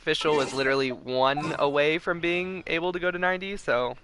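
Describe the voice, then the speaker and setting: A man chatting casually and excitably to viewers as the host of a gaming livestream. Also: fairly high pitch, fairly quick.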